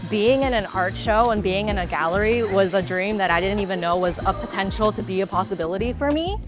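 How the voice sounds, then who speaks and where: A woman's voice: medium pitch, a little fast, over music. A woman artist in a documentary interview, speaking earnestly and sometimes searching for words.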